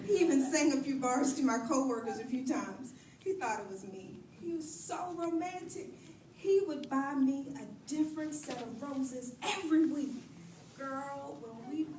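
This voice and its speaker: Actress as battered pastor's wife: high voice, wistful, loyal, pleading her husband's case; live stage play, distant mic. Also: dark tone. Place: stage in a roomy hall. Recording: echoey hall from far off, light noise.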